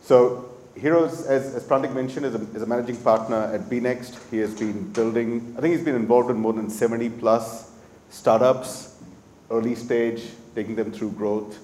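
A man hosting an interview who introduces his guest in a measured way, with some fillers. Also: mid-range voice, fairly even intonation, darkish tone. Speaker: interviewer host. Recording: echoey room with faint noise.

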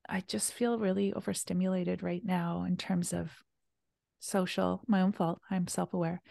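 A woman hosting a casual conversation, chatty and enthusiastic, sometimes dropping to a soft near-whisper. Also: lowish voice, gravelly, no room sound, studio quality. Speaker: retreat host.

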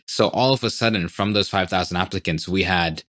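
Fast-talking deep-voiced man, founder. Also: a bit bright, no room sound, clean studio sound. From Tanzania. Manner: fast, filler-heavy, enthusiastic. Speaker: startup founder. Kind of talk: podcast interview.

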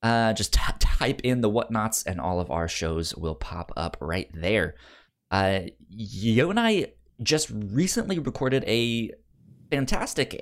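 A man's voice: fairly low pitch, animated, slow. A man hosting a podcast in casual chat, upbeat and enthusiastic, sometimes stammering over his words. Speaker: podcast host.

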